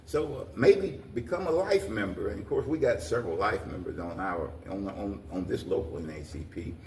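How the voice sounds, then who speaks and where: An older American man with a low voice speaks in a roomy space, some distance from the mic. He gives folksy, exhorting interview answers, often circling back over his words. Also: moderate pace, darkish tone, slight background noise. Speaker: guest, community organization president. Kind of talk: interview talk, plain-spoken encouragement.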